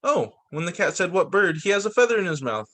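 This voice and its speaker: Male teacher for children's read-aloud: higher-than-average voice, very animated melody, expressive storytelling with casual asides. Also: fast talker. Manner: expressive reading with short spoken asides. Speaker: teacher reading picture books to pupils.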